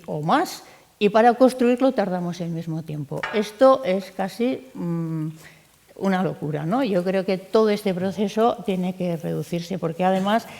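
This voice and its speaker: A woman's voice: medium pitch, a little mellow. A middle-aged woman, an architect moderating a round-table, who talks at length in a discursive way with fillers and restarts. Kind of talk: round-table panel introduction. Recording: echoey hall.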